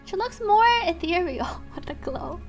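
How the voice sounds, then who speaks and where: Super high girly voiced woman streamer, chatty and giggly, game music playing behind her. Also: animated, moderate pace. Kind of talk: casual livestream chat, reading game subtitles aloud.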